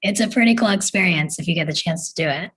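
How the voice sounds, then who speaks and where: A woman's voice: lowish voice, fast talker, fairly bright tone, a little hiss or hum, rough recording. A woman sharing information casually in a group discussion.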